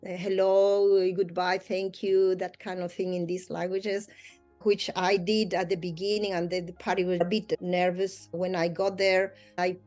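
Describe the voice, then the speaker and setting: A woman's voice: on the low side, little pitch movement, measured pace, darkish tone, soft background music. A woman whose first language is Spanish, a mediator answering interview questions earnestly, with slightly non-native phrasing and frequent light laughter. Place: Australia.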